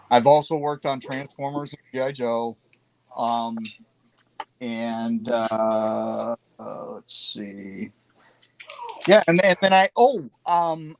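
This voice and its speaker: A man's voice: medium pitch, slight background noise, rough recording. A man chatting as a guest on a live stream, rambling and joking about himself. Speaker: guest artist. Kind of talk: casual live-stream chat.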